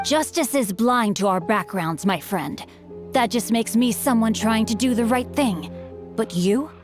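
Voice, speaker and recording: A young, bookish swordsman from a video game, chivalrous and polite. The voice sits fairly high and speaks in formal, flowery, theatrical phrases, with light music underneath. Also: animated, unhurried. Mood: righteous.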